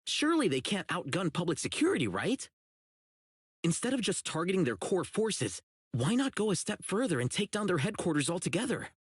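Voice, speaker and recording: A man's voice: high-pitched, sing-song, fairly quick, husky, dry recording, clean studio sound, full character voice. An actor playing an earnest, righteous young male public security officer in a video game, speaking emphatically. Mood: earnest, indignant. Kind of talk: video game character voice acting.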